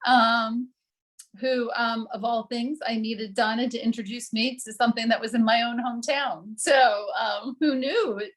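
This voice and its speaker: A woman in her forties introducing herself casually on a video call, talking in a relaxed way with many ums and pauses. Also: a little high. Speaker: new member introducing herself.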